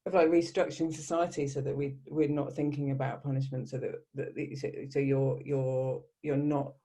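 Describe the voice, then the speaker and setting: A man moderating an informal panel discussion, rambling and halting as he repeats words and builds up to questions. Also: mid-range voice, level tone, dark tone.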